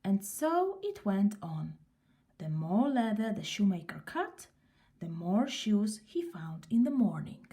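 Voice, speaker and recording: A woman reading a children's story aloud in an unhurried, expressive way. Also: medium pitch.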